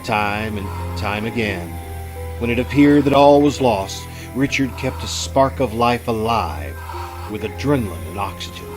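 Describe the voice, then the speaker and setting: A man narrating a scripted old-time radio drama with suspenseful, deliberate emphasis. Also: lowish voice, expressive, low-quality recording. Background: music.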